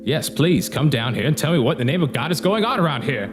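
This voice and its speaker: A man's voice: fairly high pitch, big pitch swings, fast. A man playing a bewildered but commanding city mayor in an audio drama, emphatic and theatrical. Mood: irritated, demanding. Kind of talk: radio drama acting. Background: loud guitar music.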